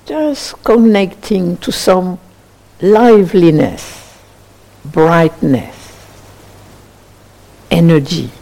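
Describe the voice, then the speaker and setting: A woman meditation teacher leading a guided meditation in sparse phrases with long pauses between them. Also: lowish voice, mellow, low-quality recording.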